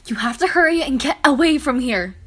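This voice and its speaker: A woman's voice: high-pitched, expressive, fairly quick, breathy edge. A woman playing a tearful, devoted young girl in an audio drama, earnest and pleading. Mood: urgent, tearful.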